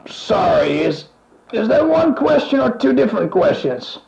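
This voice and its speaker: A man's voice: high-pitched, sing-song, unhurried, gravelly, reverberant, a crowd in the background, exaggerated voice. Man playing an offhand band member, lo-fi sound. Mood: casual, offhand. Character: casual male band member giving an interview. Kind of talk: scripted mock interview.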